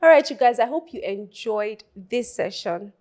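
A woman's voice: fairly high pitch, lively intonation, a little mellow. Upbeat woman hosting. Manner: upbeat, affirming, quick "yeah"s. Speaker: show host. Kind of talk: podcast interview hosting. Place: small pink-walled studio. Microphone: studio mic on boom arm.

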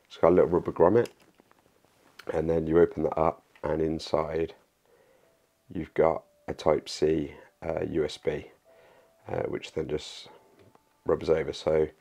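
A man's voice: rumbling low, warm tone. A man in his thirties from the UK, casually showing off a camping lantern to camera in a small living room, with many ums and restarts. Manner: hesitant, many ums and uhs, repeats himself. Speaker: outdoor gear reviewer. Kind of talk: casual product demo to camera.

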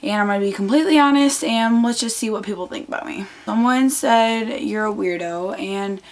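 A woman's voice: mid-range voice, moderate pace. A teenage girl losing her voice, chatting earnestly to camera from her bedroom with lots of fillers. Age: teens. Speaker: vlogger. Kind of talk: casual chat to camera. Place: bedroom, indoors. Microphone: camera on desk, close framing.

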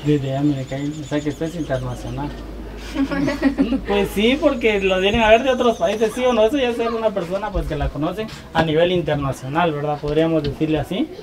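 A man's voice: high voice, lively intonation, a little fast. A man with a Guatemalan accent, chatting casually and teasingly as a vlogger interviewing guests on an outdoor lakeside terrace, laughing often. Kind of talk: casual chat. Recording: outdoor noise behind.

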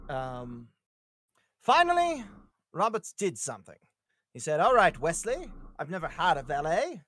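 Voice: mid-range voice, wildly swooping pitch, a little slow, a bit bright, no room sound, clean studio sound. Playful table-read performer with swooping delivery. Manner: playful, breaking into laughter and ad-libs. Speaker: table-read performer reading a swashbuckling hero's lines. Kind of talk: casual live table read of a comedy script, reading and joking. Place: remote group video call.

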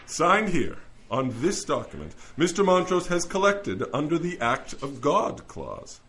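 A man's voice: a little high, big pitch swings, unhurried, fairly bright tone, a little hiss or hum. Man acting a wry courtroom lawyer, swooping delivery. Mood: triumphant. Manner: theatrical, probing questioning. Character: wry, shrewd male lawyer pleading in a celestial court. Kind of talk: audio drama courtroom acting.

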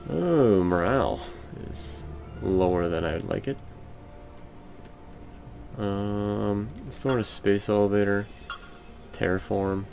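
Brief: raspy, very low-voiced man, unhurried let's-play commentary full of hesitations, soft game music behind. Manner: hesitant, thinking aloud with many ums. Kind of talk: casual gameplay commentary. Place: home room.

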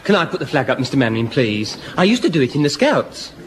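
An actor playing a naive, eager young private in a radio comedy, heard a little off-mic. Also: male voice, medium pitch, very animated, fast, background noise, lightly acted.

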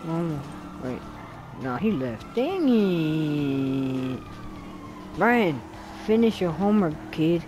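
Panicky excitable young guy gaming. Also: a little high, background noise. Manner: excitable, panicky, repeats words rapidly. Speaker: gameplay commentator. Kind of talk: excited gameplay commentary.

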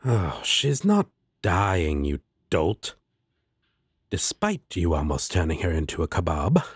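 A man's voice: low-pitched, huge pitch swings, slow pace, husky, dry recording, studio quality, exaggerated voice. A man voicing a sardonic, exasperated but protective demon roommate in a fantasy audio roleplay, blunt and sarcastic.

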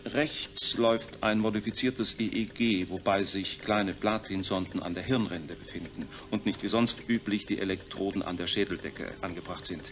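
Ein Schauspieler spielt in einem deutschen Hörspiel einen jovialen Mediziner, der sachlich doziert. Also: male voice, lowish voice, moderate pace, few pauses, a bit bright, natural voice. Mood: lecturing. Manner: didactic, technical. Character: jovial, lecturing male medical scientist. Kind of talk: German radio play acting.

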